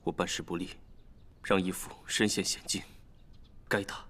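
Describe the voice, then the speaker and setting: An actor playing a dutiful, self-blaming young adopted son in a Chinese period drama, deferential and formal. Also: male voice, sits low, little pitch movement, moderate pace, a bit bright. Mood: contrite.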